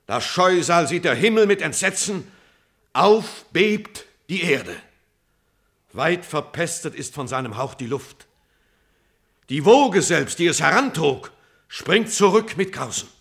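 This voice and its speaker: An actor playing a grief-stricken messenger in a classical verse tragedy for radio, declaiming solemnly in standard German stage diction with drawn-out dramatic phrasing. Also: male voice, on the high side, sing-song, a bit bright, breathy edge, heavily acted. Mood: horrified, urgent. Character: grief-stricken male messenger, loyal friend reporting a hero's death.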